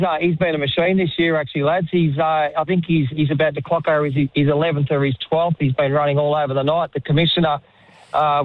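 A man's voice: a little high, dry recording. A man with an Australian accent, a firefighter speaking hesitantly on a call to a radio show. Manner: hesitant, with many fillers. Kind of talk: phone interview. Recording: tinny phone line.

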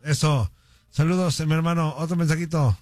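A man's voice: fairly high pitch, fairly even intonation, fairly quick, heavy background noise. A man hosting in a casual, joking chat style. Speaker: host.

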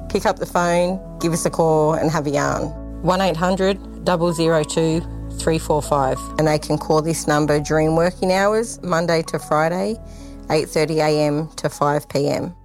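Outreach worker, female, from Sydney: very low voice, casual and direct. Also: steady tone, normal speed, darkish tone, soft background music. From Sydney, Australia. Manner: plain-spoken, informal. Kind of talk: radio interview answers.

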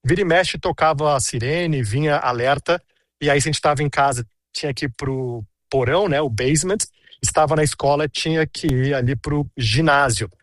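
A man in his thirties reports from a city street in a chatty, storytelling way, full of asides. His voice sits a little high. The sound is dry and close. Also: normal speed, dry recording. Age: 30s. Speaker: reporter on location. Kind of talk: casual on-location report.

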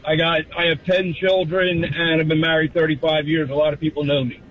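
A blunt, chatty man in his fifties from Philadelphia calls into a radio show. His voice comes through a tinny phone line and sits slightly high. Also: flat delivery, fairly quick, faint music behind. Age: 50s. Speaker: caller. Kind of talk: casual call-in chat.

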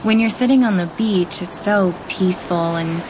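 A young woman telling a childhood memory in a relaxed, casual way with fillers. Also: fairly low pitch, moderate pace, background noise. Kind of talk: scripted ad testimonial.

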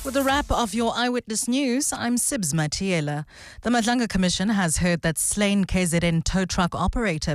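A woman reading a radio news bulletin in a crisp, measured delivery. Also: mid-range voice, dry recording, clean studio sound. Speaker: newsreader.

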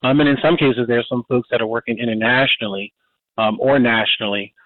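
A man's voice: mid-range voice, fairly even intonation, brisk, no echo. A man in his fifties hosting a casual community radio talk show, rambling with many fillers and restarts. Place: Baltimore, Maryland. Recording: dry, phone-like narrow band.

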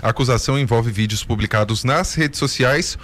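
A man's voice: medium pitch, fairly quick, a bit bright. A man co-hosting a radio show, chatting jokingly and listing facts with ease. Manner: joking, knowledgeable, playful. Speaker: radio co-host. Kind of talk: casual talk radio chat.

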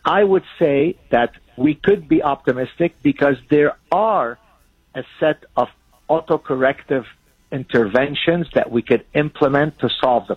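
A man from Lebanon whose first language is Arabic, speaking as a guest in emphatic, forceful commentary. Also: medium pitch, husky, no echo. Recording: phone-line audio.

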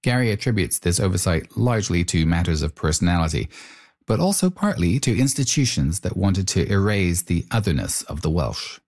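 A man hosting and narrating a documentary-style interview, asking curious questions and reading measured narration. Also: low voice, expressive, darkish tone. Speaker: host and narrator.

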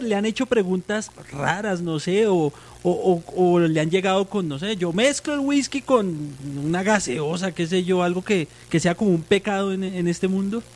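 A man co-hosts the interview in a notably high voice. He hesitates and searches for words as he builds his question. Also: a little mellow. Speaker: co-host. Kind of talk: interview host.

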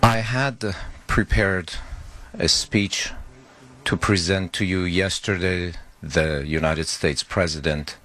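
A man interpreting a formal speech, measured and halting. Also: low-pitched, steady tone, a bit bright. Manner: slow, measured, with halting pauses. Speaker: interpreter for a head of state.

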